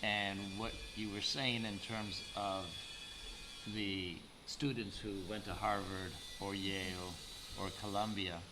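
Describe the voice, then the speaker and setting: Guy hosting an interview on a video call, lowish voice, stumbles and repeats himself, noisy background hiss. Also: steady tone. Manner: explanatory, halting with repeated words. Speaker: interview host. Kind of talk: conversational interview.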